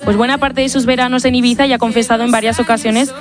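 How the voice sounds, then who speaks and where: Even-toned Spanish female newsreader. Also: fairly high pitch, fast talker, over music. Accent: Spanish (Spain). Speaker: radio news presenter. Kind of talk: live news bulletin.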